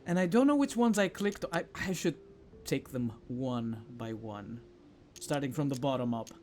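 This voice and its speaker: A man's voice: medium pitch, animated, normal speed, darkish tone, soft background music. A man hosting a gaming video, thinking aloud and grumbling in casual commentary as he plays.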